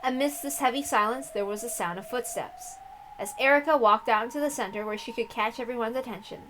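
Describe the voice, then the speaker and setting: Fairly high-voiced woman narrating a story. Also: a little fast, noisy background. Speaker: narrator and reader of a visual novel. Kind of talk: expressive read-aloud narration with casual asides.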